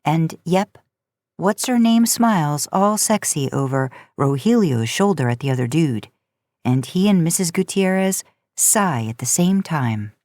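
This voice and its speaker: A woman narrating a story aloud in a casual, expressive way. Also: deep voice, unhurried, no echo, clean studio sound. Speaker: podcast host narrating fan fiction.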